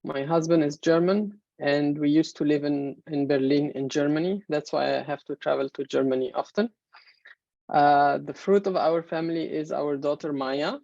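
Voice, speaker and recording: A woman in her thirties from Egypt, a native Arabic speaker, giving a measured presentation in an online webinar with many fillers. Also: low-pitched, creaky, darkish tone, dry recording. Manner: measured, explanatory, frequent fillers. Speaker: main speaker. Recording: dull, muffled webinar audio.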